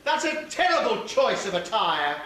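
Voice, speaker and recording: A woman playing a bickering, excitable, sharp-tongued villager in a stage pantomime. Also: medium pitch, moderate pace, crowd noise, close to an everyday voice. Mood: mocking. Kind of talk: broad pantomime stage acting. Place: theatre stage during a live amateur show. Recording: very echoey stage recording.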